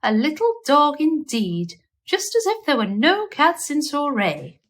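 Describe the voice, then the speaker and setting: A woman's voice: sits high, wildly swooping pitch, unhurried, pitched above the performer's own voice, exaggerated voice. A woman voicing a disdainful, gossipy female cat cousin in a storybook, with a haughty, indignant manner.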